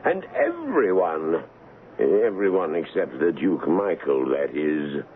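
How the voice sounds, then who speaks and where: Royal colonel, loyal, excitable, theatrical, slow delivery, tinny old radio broadcast. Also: male voice, rumbling low, full character voice. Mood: measured. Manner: theatrical, deliberate, emphatic. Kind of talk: 1970s radio drama acting. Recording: muffled 1970s radio broadcast, faint hiss.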